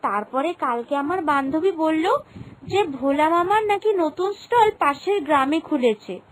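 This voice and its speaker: A woman's voice: sits high, lively intonation, unhurried, poor audio. A woman voicing an eager young village girl in a cartoon, gushing and familiar as she chats. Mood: chatty, excited. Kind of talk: Bengali cartoon voice acting.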